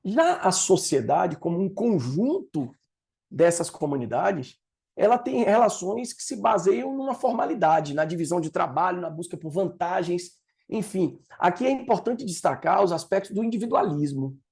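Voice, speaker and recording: Gravelly, dark-voiced Bahian man presenting. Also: high voice, expressive, moderate pace. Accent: Bahian Brazilian Portuguese. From Salvador, Bahia, Brazil. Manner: professorial, explanatory, with filler "é" and "né". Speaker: project leader presenting at an event. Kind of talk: conference presentation.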